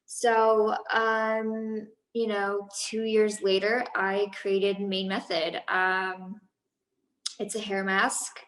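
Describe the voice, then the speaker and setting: A woman's voice: mid-range voice, flat delivery, very slow, a bit bright, echoey room, low-quality recording. A woman in her thirties, a business founder, chatting casually in an interview with many fillers and restarts. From Los Angeles, USA.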